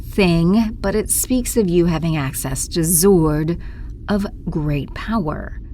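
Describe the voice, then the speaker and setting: A woman playing an imperious evil empress, coldly commanding with breathy half-whispers, in an audio drama. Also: on the low side, warm tone, slight background noise.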